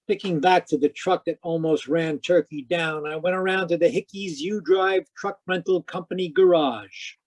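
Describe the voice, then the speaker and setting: A man's voice: on the high side, moderate pace, hardly any pauses, no echo, close to an everyday voice. A man acting a wry, persistent hard-boiled private detective in an old-time radio mystery, telling it matter-of-factly. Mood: wry narrating. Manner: wry, matter-of-fact storytelling. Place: close dry sound, remote live performance.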